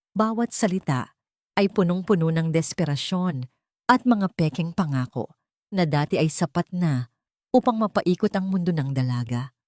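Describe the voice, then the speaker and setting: A woman's voice: deep voice, warm tone, no room sound, studio quality. A woman with a Filipino accent narrating a dramatic story from a script.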